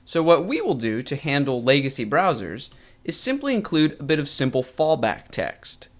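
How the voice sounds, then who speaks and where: A man narrating a scripted tutorial as a course instructor, measured and clearly enunciated. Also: fairly high pitch, big pitch swings. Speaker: web design course instructor.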